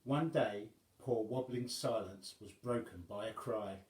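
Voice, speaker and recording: Slow-paced male storyteller in echoey room. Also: fairly low pitch, a little mellow. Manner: measured storybook phrasing with dramatic pauses. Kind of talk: reading a children's rhyming story aloud.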